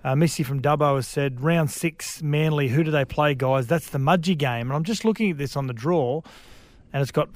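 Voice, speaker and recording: A man's voice: a little high, fairly quick, dry recording. A man with an Australian accent co-hosting sports radio from a home studio, chatty and teasing, with lots of fillers.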